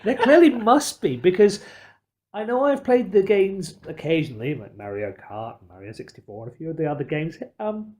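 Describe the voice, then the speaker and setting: A podcast host in casual discussion, speaking in a measured, carefully qualified, wordy way. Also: mid-range voice, lively intonation, darkish tone.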